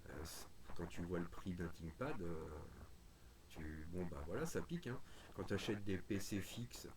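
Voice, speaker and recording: A man's voice: low-pitched, expressive, measured pace, background noise. A man chatting casually and bluntly in informal, opinionated slang. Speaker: radio hobbyist chatting on a group call stream. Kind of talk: casual chat.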